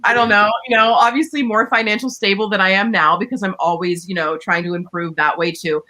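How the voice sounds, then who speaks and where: Guest DJ, woman, bright and forceful tone, relaxed chatty delivery over a video call. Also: mid-range voice, a little fast, rough recording. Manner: laughs often, loose and chatty. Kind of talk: casual podcast chat.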